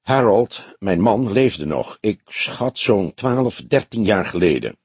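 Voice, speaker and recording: Man reading as a genteel, composed older woman, lightly husky. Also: lowish voice, expressive, no room sound, heavily acted. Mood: reflective, matter-of-fact. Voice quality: soft, friendly voice. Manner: measured, genteel, thoughtful. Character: older woman club member, composed, soft-spoken, frank. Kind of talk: audiobook character dialogue.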